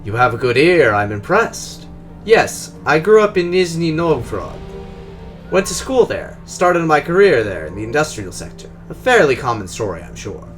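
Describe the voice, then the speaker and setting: A man voicing a polished, guarded Russian drone engineer who talks in a formal, measured, precise way, with a Russian accent. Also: on the high side, big pitch swings, faint music behind.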